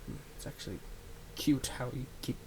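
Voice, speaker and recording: A man playing a manipulative, soothing male villain in an ASMR roleplay, speaking in a soft, coaxing and dramatic way with deliberate pauses. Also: a little hiss or hum, lightly acted. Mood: gentle, reassuring. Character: manipulative, soothing male supervillain, tenderly possessive of his captive.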